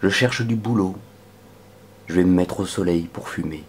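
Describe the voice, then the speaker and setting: A man performing a dramatic spoken-word poetry reading in a solemn, measured manner that can sink toward a whisper. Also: low voice, a little hiss or hum. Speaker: poetry reader.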